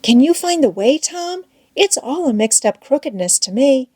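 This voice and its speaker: A woman's voice: sits high, lively intonation, normal speed, a little mellow, pitched above the performer's own voice. A woman voicing a frightened young girl in a storybook, anxious and pleading. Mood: apprehensive. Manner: pleading, apprehensive. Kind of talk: children's novel read aloud.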